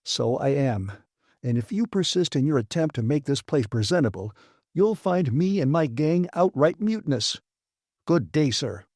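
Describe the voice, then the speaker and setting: A man voicing a gruff, blunt and defiant male maintenance chief in an audiobook, arguing emphatically. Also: a little high, expressive, moderate pace, darkish tone, no room sound, clean studio sound. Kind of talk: dramatised audiobook reading.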